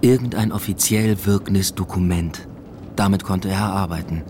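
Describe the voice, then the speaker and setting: Low-voiced, understated male narrator played by an actor. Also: steady tone, normal speed, mellow, slight background noise, close to an everyday voice. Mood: restrained. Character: restrained male university lecturer telling his story. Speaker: narrator and lead. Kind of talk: scripted first-person radio play narration.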